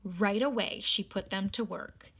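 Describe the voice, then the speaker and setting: A woman reading a children's storybook aloud in expressive narration. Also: medium pitch, unhurried, breathy edge. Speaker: storybook reader.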